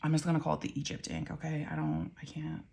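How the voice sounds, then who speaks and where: A woman's voice: sits low, flat delivery, fast, gravelly, warm tone. A woman reviewing pens and inks in a casual vlog, chatty and rambling, with many ums, self-corrections and wry asides. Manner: wry, mildly swearing asides. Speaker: pen and ink reviewer.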